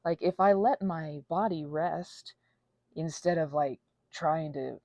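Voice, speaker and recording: Anxious, whimsical woman with creaky voice. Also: low-pitched, darkish tone, no echo. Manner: theatrical, playful whimsy; halting, tangent-prone. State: anxious, panicky; unwell. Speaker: ASMR channel host. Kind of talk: casual rambling vlog.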